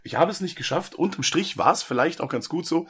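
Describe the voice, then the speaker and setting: A man's voice: a little high, expressive, a little fast, a bit bright, slightly rough. A man hosting a horror movie podcast, talking casually with lots of fillers and jokes while he has a cold. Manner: chatty, joking, many fillers and restarts. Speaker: podcast host.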